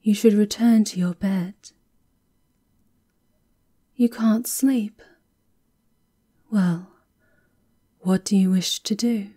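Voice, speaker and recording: A woman acts as a watchful female castle guard in an ASMR roleplay. Her tone is extremely mellow and hushed, and she talks very slowly, formal and reserved, with stern duty. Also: mid-range voice, steady tone. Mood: reserved, cautioning.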